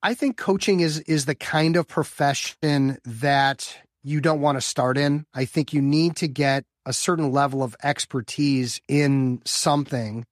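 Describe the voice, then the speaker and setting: A man's voice: on the high side, steady tone, a little slow, hardly any pauses, no room sound, clean studio sound. A man in his fifties from the Chicago area, a business coach answering interview questions in an enthusiastic, upbeat way, often repeating words as he builds a point. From Chicago area, US Midwest. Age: 50s. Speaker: guest, business development coach for lawyers.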